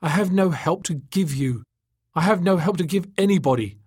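A man's voice: high-pitched, expressive, normal speed, darkish tone, husky, no echo, studio quality, pitched above the performer's own voice. A man voicing a weary, self-pitying male doctor in an audiobook, weak and despairing. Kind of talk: audiobook character acting.

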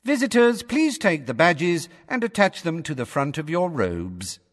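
A man's voice: a little high, big pitch swings, a little slow, in a large hall, voice pushed up. A man voicing a cool, polite female automated announcer in an audiobook, formal and detached.

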